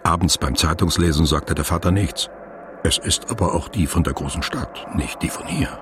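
An actor playing a sober narrator, reading literary radio drama narration in a measured, matter-of-fact way. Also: male voice, deep voice, noisy background, close to an everyday voice. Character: sober male narrator of a biography.

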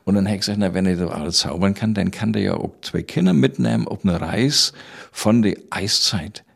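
A man from Rügen with a northern German accent, casually telling stories in Low German as an interview guest. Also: a little fast, creaky, breathy edge. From island of Rügen, Germany.

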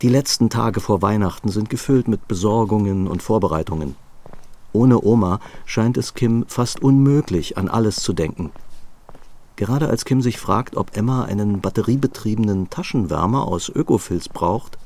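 A man's voice: fairly low pitch, moderate pace, warm tone. A man reading scripted story narration for a German radio play.

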